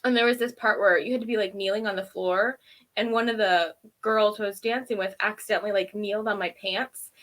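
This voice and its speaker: A woman's voice: mid-range voice, fairly even intonation, fairly quick, fairly bright tone. A woman co-hosting a review show in casual chat, telling stories in an informal, self-deprecating way. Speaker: podcast co-host reviewing TV episodes.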